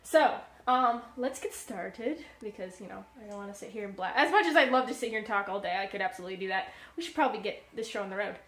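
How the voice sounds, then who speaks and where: A woman's voice: mid-range voice, fast talker, hardly any pauses, a bit bright. A woman hosting a casual cooking live stream, chatty and rambling with lots of fillers, sounding stuffy and a little nasal from a cold. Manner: chatty and rambling, many fillers and self-corrections. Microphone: low-set streaming mic.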